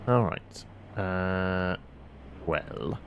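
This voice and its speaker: Slow, gravelly man thinking aloud. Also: sits low, slight background noise. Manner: thinking aloud, muttering and hesitant. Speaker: game streamer. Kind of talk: casual live gameplay commentary.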